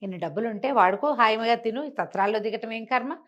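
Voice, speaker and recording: A woman's voice: fairly high pitch, fast talker, a bit bright, highs cut off, close to an everyday voice. A woman storyteller voicing an older male pilgrim who nags and advises in a familiar, well-meaning way. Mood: chiding advice.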